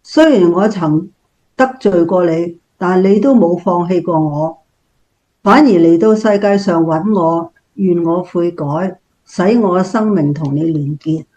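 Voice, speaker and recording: Woman playing a mellow, devout Cantonese-accented older lady. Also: fairly low pitch, measured pace, natural voice, low-quality recording.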